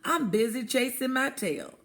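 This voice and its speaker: Storybook dog, read by a woman: idle, playful, emphatic, easy slow pace. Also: high-pitched, expressive, fairly bright tone, voice pushed up, full character voice. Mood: offhand refusal. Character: idle, playful dog who likes naps. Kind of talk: storybook character voice for children.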